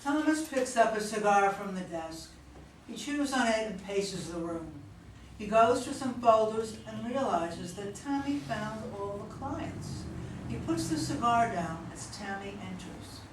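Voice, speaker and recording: A woman's voice: medium pitch, animated, a little slow. Woman narrating in a very echoey room. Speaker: stage-directions reader. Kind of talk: scripted narration at a play reading. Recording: very echoey room, distant mic, noisy.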